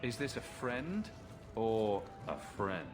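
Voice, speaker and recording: Wry, animated adventurer hero. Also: male voice, on the low side, takes it slowly, music in the background. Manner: wry, questioning. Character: dry-witted male adventurer hero, helpful but bold. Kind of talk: video game cutscene acting.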